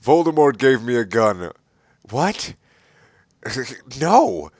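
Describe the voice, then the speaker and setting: A man reads fan fiction aloud in a theatrical, mocking way. His voice is mid-pitched and sounds dry, with no room around it. He comes across as exasperated with the material. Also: very animated, drawn-out words, a little mellow, dry recording. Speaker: reader of a fan fiction story. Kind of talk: mocking dramatic reading of amateur fiction.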